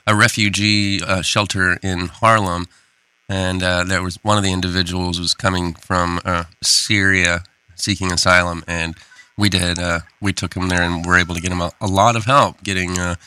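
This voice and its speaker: Chatty lower-voiced man, co-hosting. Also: little pitch movement, normal speed, sharp tone, clear, resonant tone, no echo. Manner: chatty, stumbles over words. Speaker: podcast co-host. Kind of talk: casual podcast chat.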